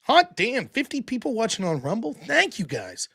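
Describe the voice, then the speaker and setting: A man hosting a livestream, reading out viewer donations and reacting, with a high-pitched voice and heavy breathing, profane and prone to yelling. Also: moderate pace, gravelly, breathy edge. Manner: animated, profane, yells about politics. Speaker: livestream host reading super chats. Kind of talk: casual livestream chat.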